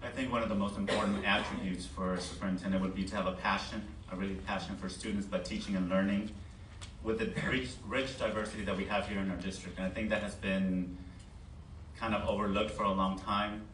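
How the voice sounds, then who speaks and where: Man, forum candidate; lowish voice with little inflection, picked up from a distance. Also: fairly even intonation, a little fast, a bit bright. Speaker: school board candidate at a forum. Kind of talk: unscripted public forum answer. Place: meeting board room. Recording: echoey board room, distant mic, background noise.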